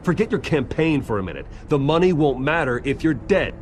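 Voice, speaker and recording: An actor playing a grim young billionaire hero who speaks low, tersely and urgently in a game cutscene. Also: male voice, animated, brisk, a little hiss or hum, lightly acted.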